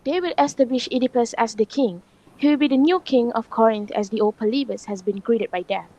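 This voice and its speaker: A humble old messenger woman of ancient Greece played by a young female student. The voice is mellow and fairly high, delivered as in an amateur radio drama. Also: a little fast, low-quality recording. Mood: solemn, polite. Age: young adult. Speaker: student actor.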